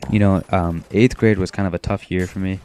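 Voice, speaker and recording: A teenage boy from Maine rambling in a self-described monotone, talking casually over his gameplay with frequent restarts. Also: low-pitched, fairly quick, a little hiss or hum. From Maine, USA. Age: teens. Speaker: gaming commentator.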